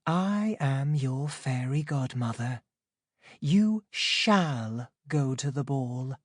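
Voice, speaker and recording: A kindly fairy godmother from a storybook, voiced by a woman reader. She speaks slowly and deliberately, with a reassuring, animated lilt in a dry studio sound. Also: very deep voice, no echo, heavily acted.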